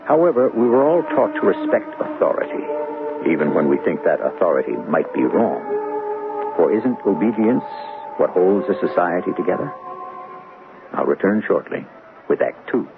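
A man hosting and narrating a 1970s radio drama in a measured, theatrical manner. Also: low-pitched, sing-song, gravelly, loud music behind the voice. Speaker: host and narrator. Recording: tinny old radio recording.

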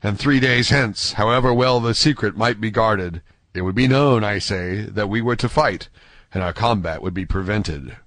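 A man voicing a gentlemanly musketeer in an audiobook, self-possessed and stately, with formal courtesy. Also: lowish voice, moderate pace, no echo, natural voice. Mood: gallant, musing. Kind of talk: audiobook character acting.

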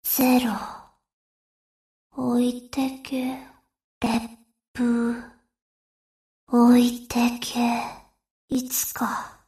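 A woman voicing a small, petulant, hostile spirit girl for an anime game, in halting broken phrases. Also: fairly high pitch, completely flat delivery, mellow. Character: small childlike female abyssal island spirit, petulant, hostile. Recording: echoey, distant from microphone.

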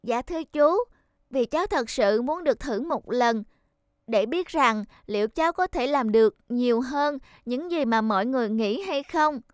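A woman voicing an earnest, polite, determined young boy in an animated cartoon. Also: high-pitched, moderate pace, smooth, dry recording, studio quality. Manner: polite, earnest, heartfelt delivery.

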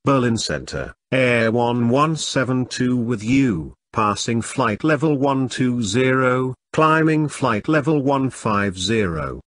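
Male voice for pilot phraseology lesson, mid-pitched, unhurried, formulaic delivery. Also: animated, no echo. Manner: measured, formulaic. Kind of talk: scripted radio phraseology readback.

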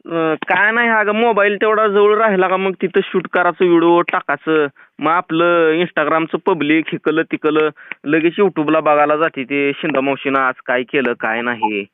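Male prank caller, rural Maharashtrian accent, high-pitched, chatty and pushy, on a narrow phone line. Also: fairly even intonation, normal speed. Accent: rural Maharashtrian Marathi. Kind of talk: casual teasing phone chat. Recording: tinny, phone-like band-limited sound.

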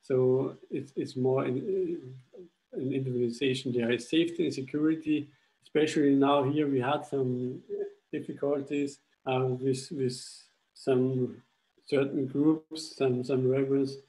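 A young man from Austria presenting his school project in a webinar over a video call, speaking English haltingly with frequent restarts. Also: mid-range voice, steady tone, mellow, rough recording. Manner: halting, restarts phrases while explaining slides. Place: indoors at home. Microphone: laptop webcam video call.

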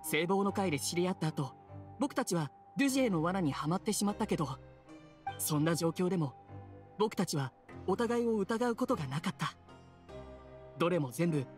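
Woman acting a polite young male prisoner, earnest, devoted, expressive intonation, game dialogue, light music underneath. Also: fairly low pitch.

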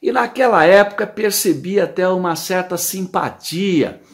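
A middle-aged Brazilian man with a Brazilian Portuguese accent, reading his written opinion column indoors into a phone camera, lively and emphatic with rhetorical questions. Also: high-pitched, measured pace.

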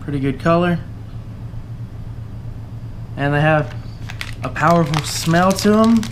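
Young Southern man, relaxed and halting. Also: on the high side, slight background noise, low-quality recording. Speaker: fishing channel host. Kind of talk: casual tackle giveaway walkthrough. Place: indoors at a table, camera overhead.

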